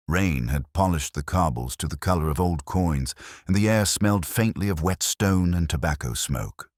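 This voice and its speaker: A man acting as a brooding, bookish detective inspector with an extremely deep voice and a close, dry sound. He narrates a mystery in measured, wry, literary phrases, with lively rises and falls in pitch. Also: slightly rough, no room sound, heavily acted. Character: brooding, erudite male detective inspector telling his case. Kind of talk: scripted first-person mystery narration.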